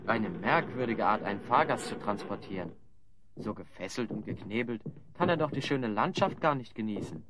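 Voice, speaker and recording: An actor playing a level-headed, dryly ironic adventurer hero in an audio drama. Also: male voice, lowish voice, animated, moderate pace, noisy background, natural voice. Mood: dry irony. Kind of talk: German audio drama acting.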